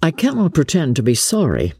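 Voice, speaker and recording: A woman voicing a genteel, smooth-talking young gentleman officer in a dramatic audiobook, courteous, confiding and a little hesitant. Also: deep voice, wildly swooping pitch, brisk, no room sound, studio quality, heavily acted. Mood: guarded. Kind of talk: dramatic audiobook character reading.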